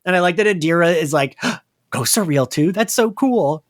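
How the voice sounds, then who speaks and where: A podcast host chatting casually and excitedly about an audio drama, with the gushing, giggly manner of a fan. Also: mid-range voice, normal speed, dry recording, clean studio sound. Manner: animated, gushing fan talk peppered with laughter.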